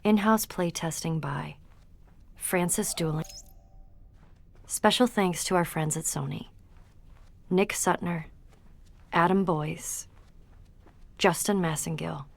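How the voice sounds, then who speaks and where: A woman reading quotations for game audio logs in a deliberate, contemplative manner. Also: fairly low pitch, vocal fry, a little hiss or hum.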